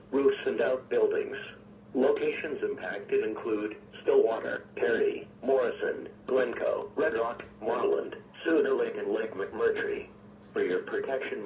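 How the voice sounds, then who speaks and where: A synthetic-sounding man's voice reading formal emergency weather bulletins in a measured, impersonal way, as an automated radio announcer. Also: medium pitch, recorded from a distance. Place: weather radio broadcast picked up on a receiver. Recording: muffled, noisy, echoey weather radio broadcast.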